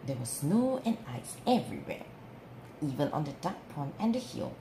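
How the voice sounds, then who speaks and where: A woman reading a children's story aloud in an expressive, deliberate way. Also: deep voice. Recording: roomy with clear background noise.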